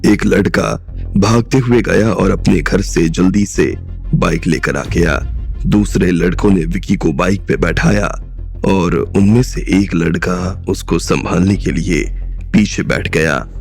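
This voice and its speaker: A man with a very low, gravelly voice narrates a crime drama at a slow, measured pace. His delivery is steady and even throughout. Faint background music runs underneath. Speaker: audiobook narrator.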